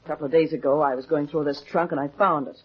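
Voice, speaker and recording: An actress playing an evasive, anxious stage performer with a secret in a 1950s radio drama, hesitant and pleading. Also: female voice, low-pitched, fairly even intonation, quick pace, breathy edge. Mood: flustered, defensive. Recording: muffled 1950s radio recording.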